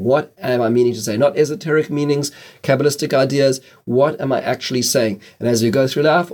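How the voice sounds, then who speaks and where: Man teaching a prayer class, halting restarts. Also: medium pitch, a little mellow. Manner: explanatory, frequent restarts and stammered words. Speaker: religious teacher. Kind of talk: spoken Torah class on prayer.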